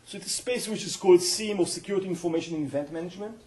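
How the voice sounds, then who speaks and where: A middle-aged man with an Israeli accent giving a university guest lecture in an earnest, explanatory way, pausing often to find his words. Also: high voice, a little mellow. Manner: earnest, explanatory, with frequent hesitations. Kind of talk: university colloquium talk.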